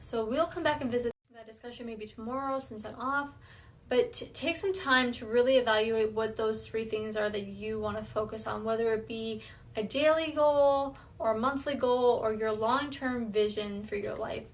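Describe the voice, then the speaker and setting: A woman's voice: on the high side, moderate pace. A woman giving an earnest, reflective self-awareness talk, thinking aloud as she goes. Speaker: wellness vlogger.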